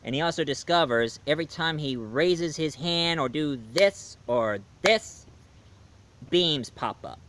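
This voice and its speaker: Male film reviewer, fairly high voice, lively casual storyteller who acts out scenes. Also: measured pace. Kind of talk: casual plot retelling.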